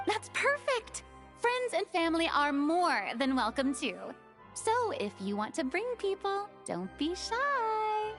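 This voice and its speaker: An actress voicing a cheerful young woman who warmly welcomes her friends, in a bubbly, drawn-out lilt. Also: very high voice, sing-song, soft background music, exaggerated voice. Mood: bubbly welcoming. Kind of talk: game voice acting.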